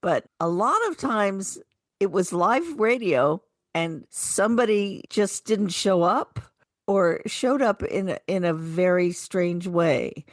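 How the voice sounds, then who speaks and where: A woman's voice: mid-range voice, expressive, slow pace, no echo, clean studio sound. A woman co-hosting a radio show, speaking in a casual, chatty style. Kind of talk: casual radio chat with some program introductions.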